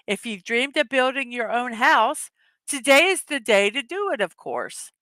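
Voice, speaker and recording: Hoarse chatty woman with a bright voice. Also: on the high side, dry recording. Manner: chatty, reads chat messages aloud, laughs often. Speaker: livestream host. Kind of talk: casual morning livestream chat.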